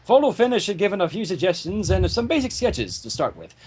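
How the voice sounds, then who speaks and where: Animated high-pitched man narrating a story. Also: a little fast, background noise. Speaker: narrator of a fan fiction reading.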